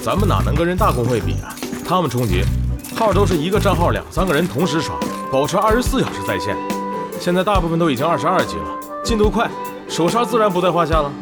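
An actor playing an eager, chatty young gamer in an audio drama. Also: male voice, a little high, big pitch swings, quick pace, over loud music. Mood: chatty, grumbling. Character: eager, talkative young male online gamer. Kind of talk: Chinese audio drama acting.

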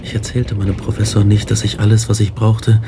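An actor playing an obsessed young male scientist, grave and deliberate, in a German radio drama. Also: fairly low pitch, completely flat delivery, noisy background, natural voice. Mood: grave, confessional. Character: Victor Frankenstein, obsessed young male scientist recounting his horrors.

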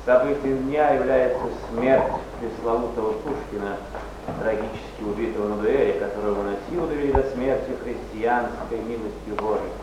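An actor playing a cool, formal nineteenth-century official reciting documents in a stage play. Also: male voice, mid-range voice, steady tone, moderate pace, lightly acted. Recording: very echoey, distant, muffled noisy stage.

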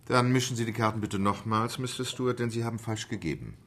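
An actor in a German radio play, playing an unflappable, precise English gentleman with a measured, formal, matter-of-fact delivery. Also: fairly low pitch, slight background noise. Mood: coolly resolute.

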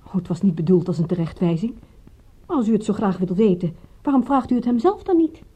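An actress in a radio drama playing a private detective's shrewd, guarded assistant, with a dry, sardonic manner. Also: female voice, medium pitch, lively intonation, fairly quick, warm tone, breathy edge. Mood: firm, rebuking. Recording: muffled, dull old radio recording.

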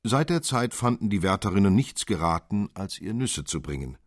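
A man reading a literary fairy tale as a narrator, in an expressive, theatrical storytelling manner. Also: fairly low pitch, normal speed, no echo, clean studio sound. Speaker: audiobook narrator.

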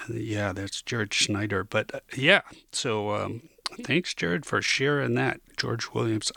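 Radio host, male, North American accent. Creaky mid-range voice, informal halting patter, dry close studio sound. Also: lowish voice, a bit bright, dry recording. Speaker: radio music show host. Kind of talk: casual unscripted radio back-announcing.

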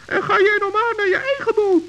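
Tiny male forest gnome played by a woman: extremely high voice, anxious yet plucky, for children's radio drama, on a dull tape. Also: fairly even intonation, fairly quick, fairly bright tone. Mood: earnest. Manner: exclaiming, stammering when nervous. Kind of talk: children's radio play acting. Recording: dull, hissy old radio recording.

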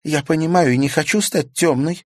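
A lovesick young woman student, worried and sighing, as a man reads her out. The voice climbs well above his own, loud and pressing, caught in a very dry, close recording. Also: moderate pace, no echo, natural voice. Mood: anxious. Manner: earnest and sighing, voice pushed high. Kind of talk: audiobook character voicing.

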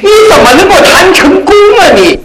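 A man's voice: very high voice, very animated, brisk, reverberant, a loud crowd, low-quality recording. An actor playing an anxious, bashful young man in love in a radio comedy, stammering and repeating himself. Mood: panicked, pleading. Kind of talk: old-fashioned radio comedy acting.

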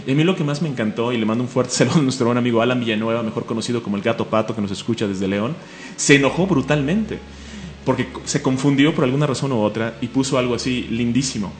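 Fast-talking Mexican man hosting radio. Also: mid-range voice, echoey room, soft background music. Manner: fast, joking, enthusiastic, laughs often. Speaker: radio show host. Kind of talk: casual radio chat.

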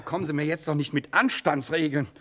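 A man's voice: a little high, fairly quick, a bit bright. An actor in a 1960s German radio drama playing an impatient, rude young man who orders others around with brusque mockery. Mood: exasperated, angry. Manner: brusque, commanding, mocking.

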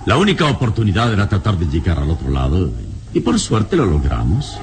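An actor playing a calm, modest, fearless adventurer in a measured, reassuring way, in an old radio serial. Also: male voice, deep voice, faint music behind.

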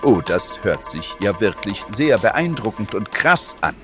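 An actor playing a smug, greedy music publishing boss in a theatrical, self-important way for a comedy radio play. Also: male voice, on the low side, sing-song, a little slow. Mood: pleased, businesslike.

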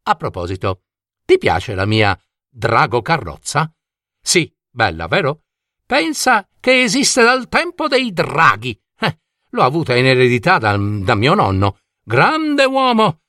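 A man's voice: medium pitch, huge pitch swings, measured pace, no echo, clean studio sound, exaggerated voice. A man voicing a kindly, eccentric old vagabond who drives a dream carriage, rambling theatrically in a storybook tale. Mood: proud, nostalgic. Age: older. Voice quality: warm voice. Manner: theatrical, rambling, exclamatory, full of rhetorical questions.

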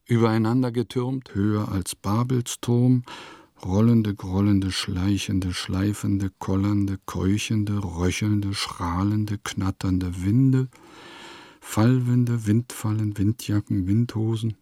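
An actor playing a wry traveling letter-writer in a radio play, with a measured, deliberate delivery that savors every odd detail. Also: male voice, on the low side, little pitch movement, dark tone, no room sound, clean studio sound. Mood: wry, savoring. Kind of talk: literary radio play monologue, scripted narration.

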